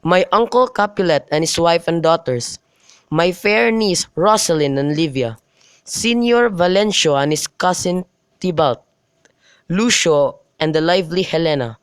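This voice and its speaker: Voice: mid-range voice, measured pace, clean tone, natural voice. An amateur performer playing a lovesick, miserable young nobleman in a radio drama, reading earnestly and declaiming. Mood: polite, curious.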